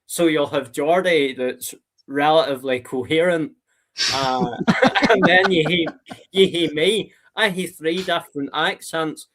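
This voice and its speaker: A man's voice: fairly high pitch, unhurried, crowd noise. A man with a Northern Irish accent, a podcast guest talking football with passion and emphasis. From Northern Ireland. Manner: fired-up, emphatic.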